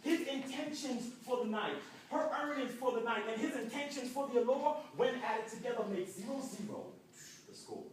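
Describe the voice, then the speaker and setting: A man's voice: very high-pitched, normal speed, slight background noise. A young man from Jamaica performing rhythmic, rhyming spoken word poetry at an arts center. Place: indoor arts center hall. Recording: echoey room from far off.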